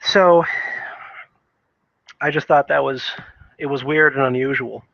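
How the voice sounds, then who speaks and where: A man's voice: medium pitch, fairly even intonation, measured pace, gravelly, fairly bright tone, dry recording. A man giving casual, opinionated video game commentary in a rambling, hesitant way, thinking aloud on a dull lo-fi microphone.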